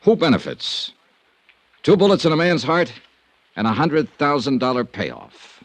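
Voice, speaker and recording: A man's voice: medium pitch, big pitch swings, a little slow, dry recording. An American man acting a skeptical, dogged insurance investigator in an old-time radio detective drama, with a probing, clipped, wry way of talking. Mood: brooding narration. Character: skeptical, dogged male insurance investigator, hard-boiled and dry. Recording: vintage radio recording.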